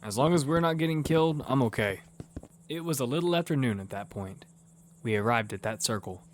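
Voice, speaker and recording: A man's voice: mid-range voice, lively intonation, a little fast, a little hiss or hum, close to an everyday voice. An actor playing a wary young man who narrates and speaks in a battle-survival audio drama. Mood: casual, curious. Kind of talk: first-person audio drama narration and dialogue. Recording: music behind the voice.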